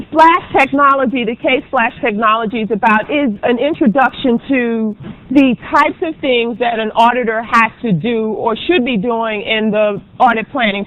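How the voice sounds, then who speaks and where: A woman professor lectures to a classroom with a loud, carrying voice. She talks in a brisk, chatty way and fills her pauses with ums. The recording is dull and lo-fi. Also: a little high. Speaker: auditing professor.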